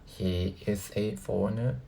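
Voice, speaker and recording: A man who natively speaks Cantonese, giving casual reaction commentary as a video host, haltingly thinking aloud. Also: fairly low pitch, gravelly, dark tone.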